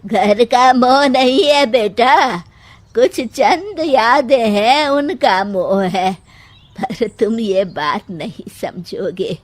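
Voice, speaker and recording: A woman's voice: a little high, extremely slow. A woman voicing a stubborn, sentimental elderly father with a weary but firm manner. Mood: wistful.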